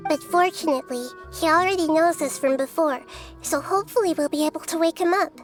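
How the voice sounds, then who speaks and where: A woman voicing a calm, wise little girl deity in a video game, speaking gently and thoughtfully. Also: very high voice, fairly quick. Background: game music.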